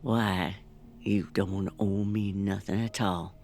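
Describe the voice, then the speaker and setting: Audio drama innkeeper: elderly Southern woman, folksy, welcoming, low gravel in the voice, very slow delivery. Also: steady tone, darkish tone. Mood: matter-of-fact, kindly.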